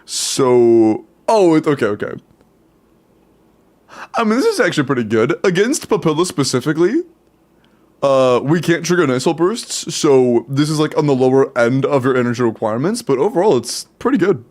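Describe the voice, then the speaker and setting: A man's voice: medium pitch, normal speed. Young male streamer, congested, easygoing running commentary. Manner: relaxed, chatty, many fillers and laughs. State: stuffy nose. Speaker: streamer giving account advice. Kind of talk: casual live-stream commentary.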